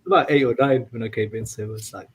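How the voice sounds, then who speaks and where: A man's voice: mid-range voice, fast talker, over a noisy crowd. A man from India chatting casually on a podcast, laid-back and hesitant, often repeating words. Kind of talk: casual chat.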